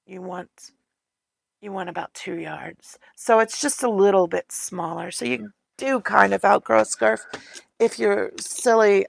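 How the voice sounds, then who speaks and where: A woman's voice: mid-range voice, creaky, dry recording. A woman chatting casually while she knits, rambling with fillers and losing her voice. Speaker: streamer knitting on a livestream. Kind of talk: casual chat.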